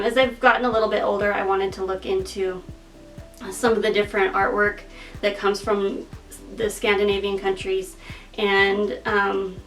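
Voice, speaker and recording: A woman from northeastern Montana talking casually as a vlog host about her craft, relaxed and hesitant with many pauses. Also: mid-range voice, recorded from a distance, rough recording. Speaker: artist and shop owner.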